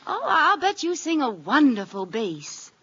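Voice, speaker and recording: An actress playing a feisty, scheming, wisecracking American wife in an old radio comedy, exclamatory and theatrical. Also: female voice, high voice, exaggerated voice. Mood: flattering. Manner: exclamatory, theatrical, quick to argue or flatter. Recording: dull, noisy old radio with studio audience.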